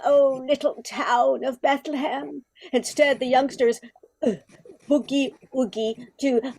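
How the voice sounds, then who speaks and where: Lady acting a genteel old xmas carol character, high slow voice, theatrical, sighing, radio play. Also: warm tone, poor audio. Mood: nostalgic. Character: wistful, prim old female Christmas carol sheet music.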